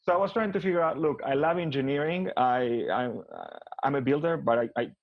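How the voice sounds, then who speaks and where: A man's voice: on the high side, brisk, no room sound, lo-fi sound. A man from Argentina with an Argentine Spanish accent, talking as an interview guest about his career, with many restarts and repeated words. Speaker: guest, business consultant. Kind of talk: casual interview conversation.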